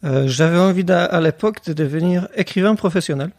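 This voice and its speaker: Man with a gravelly voice. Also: high-pitched, brisk, darkish tone, dry recording, studio quality. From Poland. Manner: hesitant, many "euh" fillers. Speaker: guest, tech company cofounder. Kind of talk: casual podcast interview.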